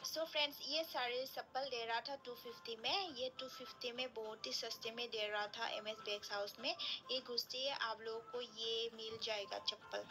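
A woman's voice: fairly high pitch, fairly even intonation, normal speed, rough recording. A young woman, chatting casually through a shopping vlog and praising cheap prices over and over. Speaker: shopping vlogger. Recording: voice-over over loud background music.

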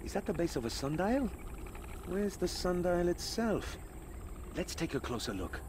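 A man playing an eager, adventurous young desert companion in a video game, with a dramatic, expressive delivery. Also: sits high, moderate pace, background noise. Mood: curious. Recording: game music behind the voice. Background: background music.

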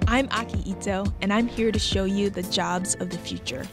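A woman reporter narrating a scripted news feature in a measured, clear voice. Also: mid-range voice, fairly even intonation. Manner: measured, clear voice-over delivery. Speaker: reporter and host. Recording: voice-over with background music.

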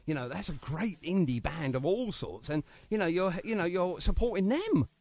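A man's voice: high-pitched, sing-song, moderate pace, a little mellow, dry recording. A man hosting a casual radio interview, jokey and rambling, with lots of fillers. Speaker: radio show host. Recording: phone interview on online radio.

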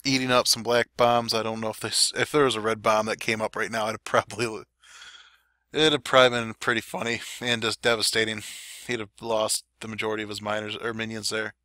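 A man giving relaxed, chatty play-by-play commentary over game replays, his throat a little dry. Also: lowish voice, brisk, no echo. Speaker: clan war recap commentator.

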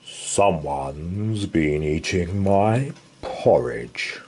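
A man voicing a big, gruff father bear who growls his words slowly in a storybook read-aloud. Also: deep voice, animated, darkish tone, full character voice. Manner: growling, slow.

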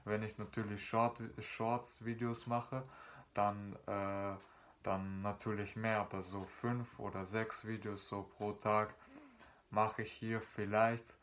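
A man's voice: fairly low pitch, level tone, takes it slowly, a bit bright, slight background noise. A young man from Germany vlogging casually to his webcam in a small room, rambling with many fillers and restarts. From Germany, North Rhine-Westphalia. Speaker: YouTuber. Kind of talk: casual vlog chat to camera.

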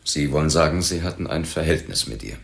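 A dry police lieutenant in a crime radio play. His very deep voice crackles with gravel as he probes with measured irony, in a roomy acoustic. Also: animated, fairly bright tone, exaggerated voice. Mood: probing, stern. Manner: measured, ironic questioning. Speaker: actor in a radio drama.

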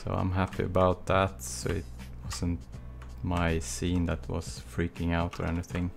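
Swedish-accented man, low gravelly voice, relaxed chatty live stream, faint music behind. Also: measured pace. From Sweden. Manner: relaxed, chatty, answers viewer chat between long quiet stretches. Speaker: live stream texturing instructor. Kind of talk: casual live stream chat while working. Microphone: desk mic with webcam.

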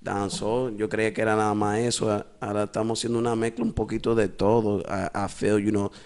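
A young man talks casually as a musician guest in a small studio. His low, gravelly voice holds a flat, even pitch. He mixes English and Spanish with a streetwise ease. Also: fast. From East New York, Brooklyn. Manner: switches between English and Spanish, streetwise, repeats "you know what I mean". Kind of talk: casual interview talk. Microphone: studio mic with headphones.

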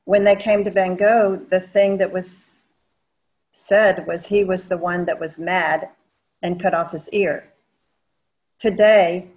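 A woman in her sixties from Georgia with a Southern accent, giving a lecture over a video call from her home studio and pausing often with "um". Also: fairly low pitch, poor audio. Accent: Southern American, Georgia. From Georgia, USA. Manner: slow, measured, with frequent "um" pauses. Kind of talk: online lecture. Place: home studio wall hung with paintings, indoors. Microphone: video call webcam.

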